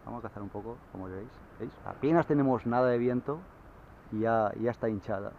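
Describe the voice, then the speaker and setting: A man explaining kayak sailing in a relaxed, casual way, with fillers, speaking from a kayak at sea into an action camera. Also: mid-range voice, brisk, gravelly. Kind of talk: casual how-to explanation on the water. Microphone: action camera mounted on the kayak. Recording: dull lo-fi camera audio, faint wind.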